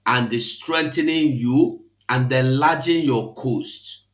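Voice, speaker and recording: A man's voice: medium pitch, slow pace, roomy sound, noisy background. A man, hosting a live broadcast, exhorting and quoting scripture in a repetitive, preachy cadence. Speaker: online broadcast host.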